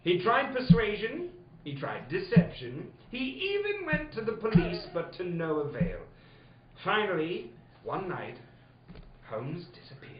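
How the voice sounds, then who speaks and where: A man's voice: high-pitched, big pitch swings, recorded from a distance. A man playing a genial, fussy elderly doctor telling a tale in an old-time radio play, easily exasperated. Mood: storytelling. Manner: theatrical, fussy, drawn-out storytelling. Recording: roomy, muffled studio, off the mic.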